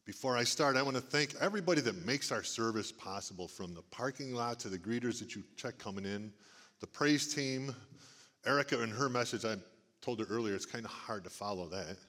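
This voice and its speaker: A middle-aged man with a big booming voice, speaking to a church congregation in a folksy preaching manner. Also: animated, fairly quick, a bit bright. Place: church sanctuary. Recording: long reverb of a church hall. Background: church hall.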